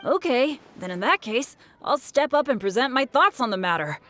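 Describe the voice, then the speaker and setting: A woman's voice: sits high, very animated, moderate pace, a bit bright, soft background music. A woman voicing an upbeat, modest teenage boy adventurer who talks in an informal, eager way in a video game. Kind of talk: video game story dialogue.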